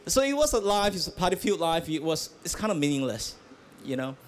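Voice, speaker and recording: A man's voice: fairly high pitch, sing-song, quick pace, a little hiss or hum. A man from Malaysia, a pastor, answering interview questions earnestly, with hesitant restarts and fillers, outdoors at a festival. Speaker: pastor, guest.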